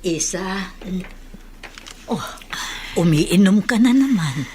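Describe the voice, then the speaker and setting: A woman with a Filipino accent playing a concerned, motherly older woman in a radio drama, gently chiding. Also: medium pitch, slow, a little hiss or hum. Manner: questioning, gently chiding, expressive.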